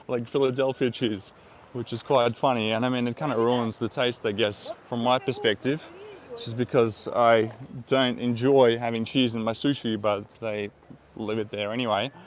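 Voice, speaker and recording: A man from Eastern Europe with an Eastern European accent in English, chatting casually on a live stream, rambling with many fillers and frequent laughs. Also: mid-range voice. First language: Russian. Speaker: vlogger.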